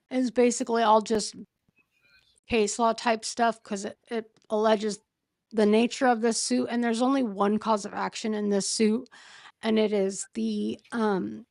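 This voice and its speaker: Woman, hoarse, gravelly, dry close sound, reads legal text, halting asides. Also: a little high, darkish tone, dry recording. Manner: halting, thinks aloud between readings. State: hoarse, losing her voice. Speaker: true-crime commentator. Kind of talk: reading a court filing aloud with asides.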